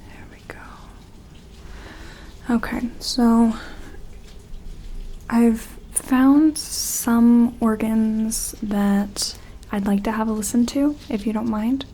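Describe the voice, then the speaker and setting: Female ASMR roleplayer as a gentle, careful sci-fi examiner: unhurried, mellow, half-whispered, reassuring, with faint noise behind. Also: on the high side, fairly even intonation, low-quality recording. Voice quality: soft, breathy, often dropping to a whisper. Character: gentle, careful woman scientist examining an alien.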